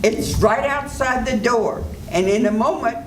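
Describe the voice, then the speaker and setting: An older woman playing a scheming doctor, slow and roomy. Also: fairly high pitch, lightly acted. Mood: calm, scheming. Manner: calm, smoothly persuasive. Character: scheming doctor, calm and manipulative. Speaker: senior theater performer. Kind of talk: old-time radio drama reading. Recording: roomy hall with audience noise.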